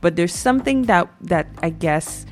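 A woman's voice: on the low side, expressive, moderate pace, soft background music. A Guyanese woman co-hosting a morning radio show, chatting casually and reading out listener messages. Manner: upbeat, reads listener messages aloud.

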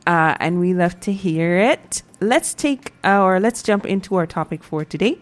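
A woman's voice: on the low side, animated, normal speed. A Guyanese woman co-hosting a morning radio show, chatting casually and reading out listener messages. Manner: upbeat, reads listener messages aloud.

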